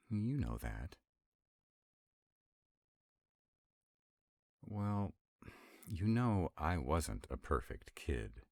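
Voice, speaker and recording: Man playing a very deep-voiced sarcastic vampire. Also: dry recording, clean studio sound, heavily acted. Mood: reluctant, wry. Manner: sarcastic, conversational, drawling asides. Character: sarcastic male vampire, irritable, wry, rebellious council member. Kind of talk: intimate one-sided roleplay audio acting.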